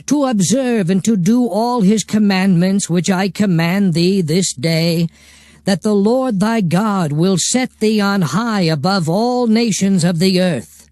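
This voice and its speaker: A man giving a solemn, measured, declamatory reading of scripture with music behind. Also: sits high, darkish tone, dry recording.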